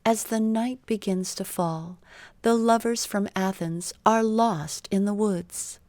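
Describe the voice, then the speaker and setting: A woman narrating a scripted bedtime story for children in a measured, simple storytelling way. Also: mid-range voice, a little mellow, dry recording.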